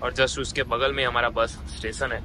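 A man's voice: mid-range voice, level tone, moderate pace, background noise. A man narrating a travel vlog outdoors at bus stations at night, pointing things out to viewers he calls friends. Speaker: travel vlogger.

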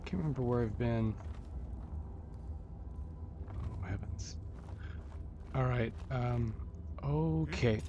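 A man's voice: mid-range voice, takes it slowly, long pauses. A man giving casual solo commentary as he plays a video game, muttering to himself and repeating short phrases. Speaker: video game player and host of a gameplay series. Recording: dull lo-fi audio with clear background noise.

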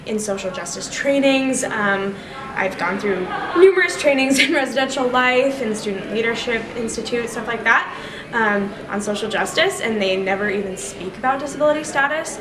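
A young woman speaks casually and haltingly in an interview. She sounds set back from the mic in an echoing indoor space, with clear background noise. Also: fairly high pitch, expressive, distant mic. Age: young adult. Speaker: student interviewee. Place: indoor room, standing before a camera.

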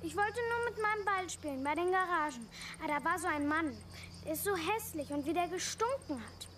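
A woman's voice: extremely high pitch, lively intonation, a little fast, a bit bright, a little hiss or hum. A female performer playing a whiny, insistent young girl in a radio play. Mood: sulky, indignant. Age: child.

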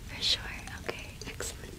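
Young whispering woman, soft ASMR roleplay. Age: 20s. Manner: soft, unhurried, hesitant pauses. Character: friendly solitary cottage witch keeping a small shop. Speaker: ASMR performer playing a cottage witch shopkeeper. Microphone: close ASMR microphone.

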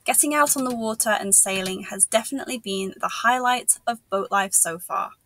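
A woman's voice: a little high, moderate pace, sharp tone, dry recording. A woman in her thirties narrating a sailing vlog, telling the week's story with upbeat asides. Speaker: sailing vlogger. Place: boat cabin with wooden panels.

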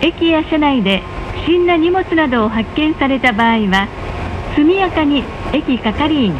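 A woman reading pre-recorded train announcements in a polite, formal, carefully measured manner. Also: expressive. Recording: muffled, noisy onboard train speaker recording. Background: rumble of a moving train.

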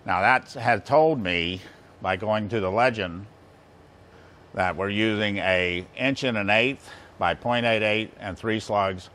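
A man's voice: fairly low pitch, slow, fairly bright tone. An American man in his sixties giving a plain-spoken, folksy technical demonstration, explaining things step by step. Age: 60s. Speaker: instructor demonstrating engine balancing equipment. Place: large indoor machine shop. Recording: clear background noise, workshop ambience.

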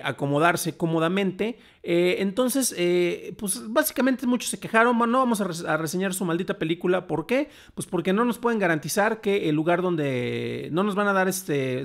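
A Mexican man in his thirties hosting a casual live show, speaking colloquially and haltingly. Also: high-pitched, creaky, a bit bright. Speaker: host. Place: small home room, indoors.

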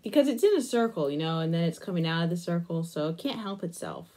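A woman's voice: lowish voice, expressive, fast, dark tone. A young adult woman chatting casually through a craft demonstration, absorbed and half talking to herself. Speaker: crafting vlogger. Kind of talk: casual crochet demonstration chat. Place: small attic room, indoors.

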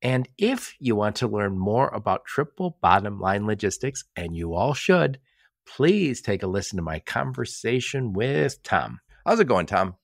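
A man's voice: fairly low pitch, sing-song, creaky, dry recording, studio quality. A man hosting a podcast, reading an upbeat intro and then interviewing with enthusiasm. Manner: warm enthusiastic delivery, slow emphatic intro. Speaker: podcast host.

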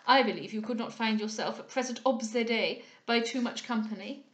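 A woman's voice: fairly high pitch, brisk, reverberant, close to an everyday voice. A woman voicing a self-satisfied, foppish male captain with a pompous, affected manner in an audiobook. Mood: smug, affected. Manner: pompous, affected, peppered with French words. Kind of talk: dramatised audiobook character voice.

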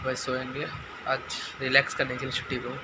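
A hoarse teenage boy with a sore throat, chatting casually to his viewers in a home vlog filmed on a phone held at arm's length. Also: medium pitch, monotone, brisk, very noisy. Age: teens. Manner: chatty, filler-heavy, addresses viewers as "bhai" and "guys". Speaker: vlogger. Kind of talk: casual day-in-the-life vlog. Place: small home rooms indoors. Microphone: phone camera held at arm's length.

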